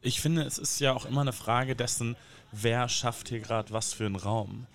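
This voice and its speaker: A man's voice: medium pitch, fairly even intonation, normal speed, creaky. A man taking part in a casual discussion, thoughtful and hedging, with fillers. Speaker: guest.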